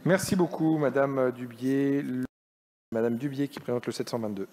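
A man's voice: mid-range voice, moderate pace, a little mellow. A man presiding over a parliamentary sitting, making brief procedural announcements in a reverberant chamber. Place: large parliamentary chamber, indoors.

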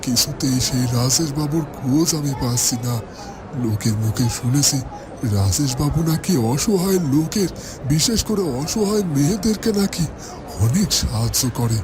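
A man playing an anxious, cautious village father in a fairytale cartoon, speaking politely and haltingly. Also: a little high, lively intonation, lightly acted. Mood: earnest. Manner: halting, polite, stammering when probing. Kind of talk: Bengali fairytale cartoon dubbing. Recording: music behind the voice.

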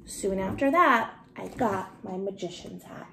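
A middle-aged woman reading a children's story aloud expressively, with deliberate, emphatic phrasing. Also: mid-range voice, gravelly, pushed and bright. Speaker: storybook reader. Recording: roomy home recording with noticeable hiss.

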